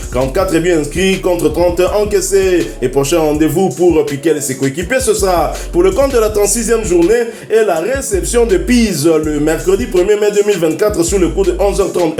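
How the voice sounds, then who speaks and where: A man with a high voice reads a football news roundup in a lilting, sing-song cadence, stopping now and then on fillers. His voice rings in a roomy, echoey space, and faint music plays underneath. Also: darkish tone. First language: not English. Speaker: sports news presenter. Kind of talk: read football news bulletin.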